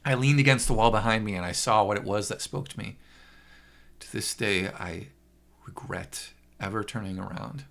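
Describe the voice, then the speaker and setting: A man's voice: fairly low pitch, steady tone, moderate pace, vocal fry, a bit bright. A man narrating a first-person horror story with an intense, theatrical delivery.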